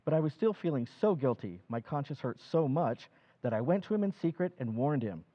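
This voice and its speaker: Actor as an earnest, guilt-ridden husband in an old-time radio play, dull lo-fi sound. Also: male voice, a little high, expressive, a little fast. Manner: earnest, confiding. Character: anxious small-town husband, middle-aged, guilt-ridden.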